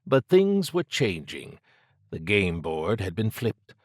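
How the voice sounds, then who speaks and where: Low-voiced man narrating fiction. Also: huge pitch swings, slow, dry recording, clean studio sound. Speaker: audiobook narrator. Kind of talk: scripted dramatic fiction narration.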